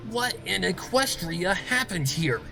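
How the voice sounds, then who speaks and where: A man's voice: sits high, expressive, measured pace, creaky, music in the background, pitched above the performer's own voice, heavily acted. A man voicing a brash, angry female pegasus factory boss with a snapping, demanding manner.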